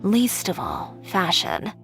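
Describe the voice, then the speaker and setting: A woman's voice: lowish voice, huge pitch swings, takes it slowly, a bit bright, soft background music, heavily acted. A woman voicing a proud, headstrong young woman tailor who speaks in a cool, confident and frank way. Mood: reflective. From Inazuma.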